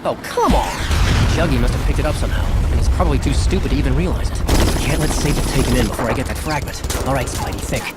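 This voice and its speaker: A man's voice: mid-range voice, quick pace, very noisy, close to an everyday voice. A man playing a young wisecracking superhero in a video game, quippy and sarcastic. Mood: wry, exasperated. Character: young wisecracking male superhero, cocky yet exasperated.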